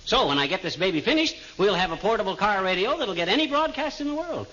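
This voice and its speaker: Boastful, animated radio-comedy husband. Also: male voice, high-pitched, quick pace. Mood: eager, confident. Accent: American. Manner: bragging, folksy, animated. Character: boastful, excitable middle-aged husband, amateur inventor. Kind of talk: 1940s radio comedy acting. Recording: muffled, noisy old radio broadcast recording.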